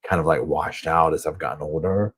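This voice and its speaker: A man with a Southern US accent hosts a chatty live stream from a hotel room, reading viewer comments as he goes. His voice sits low and sounds a bit husky. He rambles and fills his talk with loose, easygoing asides. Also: fairly even intonation, a little fast, fairly bright tone. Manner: rambling, chatty, heavy on filler words. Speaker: true crime channel host.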